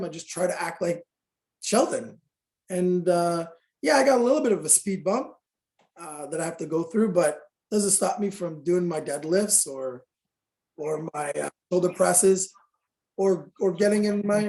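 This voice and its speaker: A man's voice: a little high, dark tone, reverberant. A middle-aged man speaking candidly and earnestly on a video call meeting, talking to fitness business owners.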